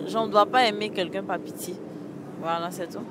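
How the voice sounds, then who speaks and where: A woman answering a street interviewer at night in short, hesitant, halting phrases, into a handheld mic. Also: mid-range voice, steady tone. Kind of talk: vox pop answer. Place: city street at night beside traffic. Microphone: handheld mic held by interviewer. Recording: street traffic noise outdoors.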